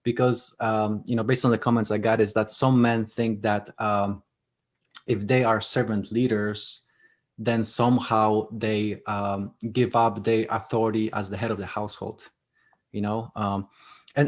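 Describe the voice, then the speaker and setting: A man whose first language is Polish, a guest author talking casually in an interview, often restarting and repeating words. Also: on the low side. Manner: hesitant, repeats words, many fillers.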